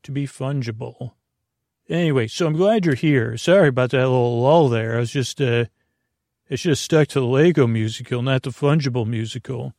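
A middle-aged man hosting a sleep podcast, talking in a meandering, goofy monologue full of asides and restarts. His voice is creaky. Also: medium pitch, moderate pace, darkish tone, no echo, studio quality. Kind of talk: rambling bedtime monologue.

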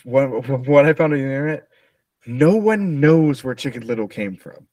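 A man co-hosting a podcast as quizmaster, explaining answers casually in a measured way. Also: a little high, lively intonation, a little mellow, dry recording.

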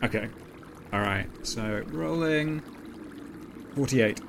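A man's voice: medium pitch, slow, gravelly, a little hiss or hum. A man chatting casually as a tabletop game player, hesitant and repeating words.

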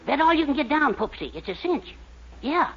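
A man's voice: very high-pitched, sing-song, moderate pace, heavily acted. An actor playing a fretful, timid, henpecked man with a fussy, exclamatory manner in a 1940s radio sitcom. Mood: smug, doting. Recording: muffled 1940s radio recording, faint noise.

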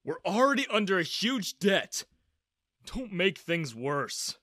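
A man's voice: high-pitched, big pitch swings, slow pace, sharp tone, dry recording, studio quality, pitched above the performer's own voice, heavily acted. A man voicing a stern, worried father scolding his son in a narrated story. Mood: stern, exasperated.

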